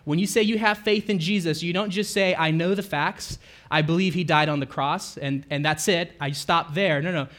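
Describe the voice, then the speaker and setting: A man's voice: fairly high pitch, fairly even intonation, normal speed, a bit bright. Chatty man preaching a casual sermon. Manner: conversational, self-correcting, asks the congregation questions. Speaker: pastor. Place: church sanctuary.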